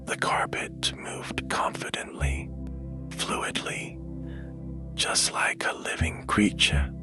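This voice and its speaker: A man narrating a bedtime story in a hushed, gentle and soothing manner, taking his time. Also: slow pace, in a whisper. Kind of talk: slow, whispered bedtime storytelling. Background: music.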